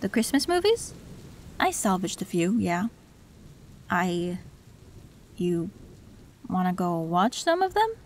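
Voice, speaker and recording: A woman voicing a gentle young tech fixer in an audio roleplay, soft and reflective. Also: fairly low pitch, sing-song, slow, long pauses, heavily acted. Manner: soft, soothing, reflective with small laughs. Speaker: voice actor.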